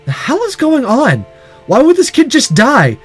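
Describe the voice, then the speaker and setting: A threatening gangster from a visual novel, played by a man reading aloud, high-pitched and exasperated. His delivery jumps around in pitch over faint music. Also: huge pitch swings, unhurried, husky, heavily acted. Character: threatening, exasperated male gangster thug with a gun.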